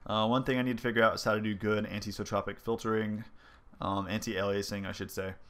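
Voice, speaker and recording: A man's voice: fairly low pitch, level tone, fast, a bit bright. A young man with a stuffy, congested voice, casually thinking aloud as he shows off his game engine, with plenty of ums and likes. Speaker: indie game developer. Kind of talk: casual devlog commentary over gameplay.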